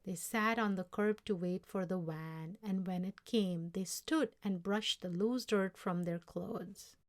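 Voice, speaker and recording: Female storybook narrator: very unhurried, deliberate, mid-pitched read-aloud. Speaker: story reader.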